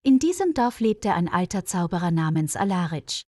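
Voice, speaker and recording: A woman's voice: on the low side, very animated, moderate pace, darkish tone, no echo, studio quality. A woman reading a scripted fairy tale as a storyteller for language learners. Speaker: storyteller, narrator.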